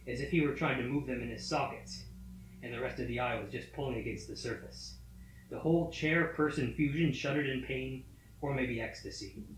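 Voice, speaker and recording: A man reading a scripted horror story in a measured, matter-of-fact manner. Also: medium pitch. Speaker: story reader. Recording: roomy echo. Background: faint music.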